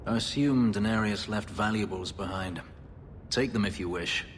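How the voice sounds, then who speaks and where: A man's voice: fairly low pitch, normal speed, a little hiss or hum, natural voice. An actor playing a brooding male elf warrior and escaped slave, speaking in a grave, formal, terse way. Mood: bitter. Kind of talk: video game dialogue. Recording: game music underneath. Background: game score.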